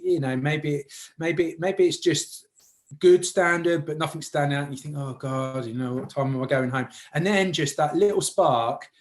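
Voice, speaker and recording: A man's voice: a little high, moderate pace. A man hosting a casual interview, speaking in an informal, meandering and appreciative way. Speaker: interviewer and host.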